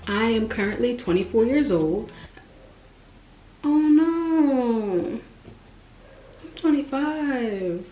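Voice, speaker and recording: A woman in her twenties chatting casually and expressively to her viewers, jumping up in pitch when she stresses a point. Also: lively intonation, drawn-out words, long pauses, phone-quality sound. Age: 20s. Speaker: vlogger. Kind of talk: casual direct-to-camera chat. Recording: roomy echo with faint music.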